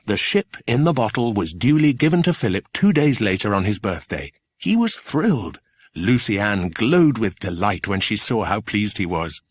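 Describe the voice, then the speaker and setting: A man narrating a children's adventure audiobook in a scripted storytelling style, with a husky voice. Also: lowish voice, big pitch swings, normal speed, dry recording.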